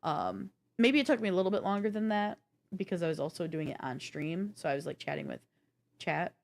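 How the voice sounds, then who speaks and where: Lower-voiced woman chatting about games. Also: a little fast, creaky. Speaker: podcast co-host. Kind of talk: casual gaming chat and review.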